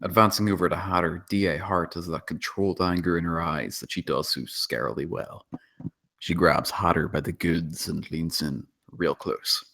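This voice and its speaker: A man's voice: sits low, fairly even intonation, moderate pace, creaky, pushed and bright. A man narrating stage directions in a dramatic screenplay table read.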